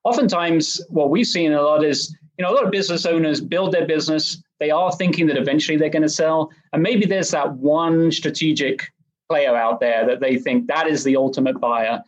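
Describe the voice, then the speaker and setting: English guy on a podcast, articulate, slightly high voice, kinda muffled lo-fi sound. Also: moderate pace. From England. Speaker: guest, M&A advisor. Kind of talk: podcast interview.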